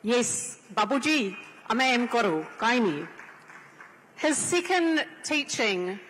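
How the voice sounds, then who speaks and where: A woman with a New Zealand accent giving an earnest, measured speech as a panel speaker. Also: a little high, animated, a bit bright, a little hiss or hum. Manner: measured, earnest, drawn-out delivery. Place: large conference hall. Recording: long hall reverb.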